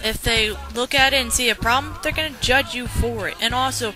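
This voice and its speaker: A woman's voice: a little high, brisk, over music. A woman giving blunt, sarcastic and profane commentary while a journal is read aloud. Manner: blunt, sarcastic, swears freely.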